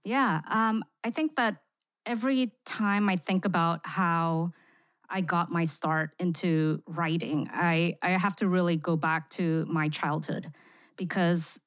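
A woman's voice: fairly low pitch, steady tone, takes it slowly, a bit bright, no room sound, clean studio sound. A woman raised in Singapore, a writer speaking as a podcast guest in a reflective way, with many "you know" fillers. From born in Indonesia, raised in Singapore. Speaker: guest, immersive experience writer. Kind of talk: podcast interview.

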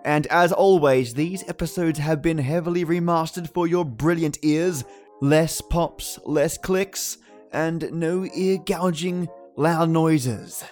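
A man's voice: a little high, fairly even intonation, slow, a little mellow. A man hosting a podcast, delivering a scripted introduction in a theatrical way that savours each phrase. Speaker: podcast host. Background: music behind the voice.

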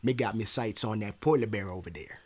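An actor playing a crude, gleeful, trigger-happy biker thug with a brash, slangy delivery. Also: male voice, on the low side, fairly quick, darkish tone, natural voice. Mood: eager.

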